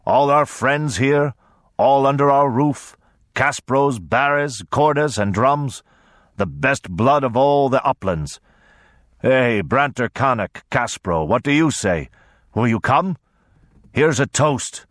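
A man's voice: mid-range voice, animated, unhurried, a bit bright, dry recording, clean studio sound, pitched above the performer's own voice. A man voicing a brassy, bullying old grandfather lord in an audiobook, boastful and mocking in manner. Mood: boisterous, bellowing. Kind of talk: audiobook character voice.

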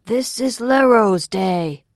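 A woman voicing a solemn male orator in an audiobook, addressing a vast crowd in a ringing, clear, slow and formal declamation. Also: on the low side, no room sound, clean studio sound, voice pushed up, lightly acted. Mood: solemn, urging.

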